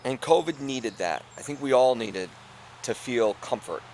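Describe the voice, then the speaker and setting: A middle-aged man, co-owner of an inn, talking earnestly in a casual conversation, laughing often and letting sentences trail off quietly. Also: mid-range voice, animated, a little slow, a little hiss or hum.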